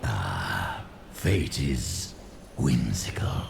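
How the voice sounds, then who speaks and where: Undead game wizard, very slow, swooping delivery, gravelly, sardonic, treacherous, noisy ambience behind. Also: male voice, lively intonation, full character voice. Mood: sinister amusement. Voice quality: deep, gravelly, creaking. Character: resurrected undead renegade wizard, treacherous, wry and bargaining. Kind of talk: dramatic fantasy game acting.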